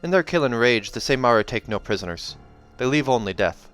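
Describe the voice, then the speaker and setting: A man's voice: mid-range voice, animated, brisk, fairly bright tone, smooth, soft background music, natural voice. Man putting on a fierce, curt young huntress. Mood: cold. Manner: curt, cool, put-on accent.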